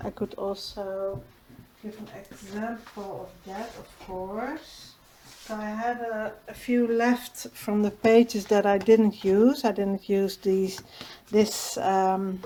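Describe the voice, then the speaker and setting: A woman with a Dutch accent, a native Dutch speaker, hosting a casual crafting tutorial in a hesitant way, with many pauses and fillers and small laughs. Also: mid-range voice, a little mellow, slight background noise. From Netherlands.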